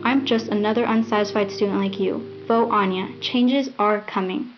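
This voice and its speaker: An earnest, defiant teenage girl delivering a campaign speech, acted by a young woman. She has a mid-range voice on lo-fi videotape sound, with music clearly behind her. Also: mid-range voice, normal speed, natural voice. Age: young adult. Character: defiant, earnest teenage female student candidate. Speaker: election candidate. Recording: dull lo-fi 1990s VHS sound.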